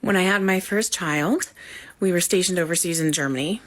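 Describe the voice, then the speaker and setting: A woman who is a nurse, telling a story to camera in a measured, steady way. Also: fairly low pitch.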